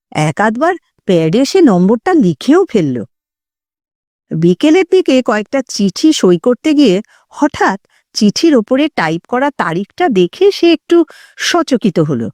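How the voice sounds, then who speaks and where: A woman narrating an audio story in an expressive, suspenseful, dramatic scripted style. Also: a little high, no room sound, studio quality.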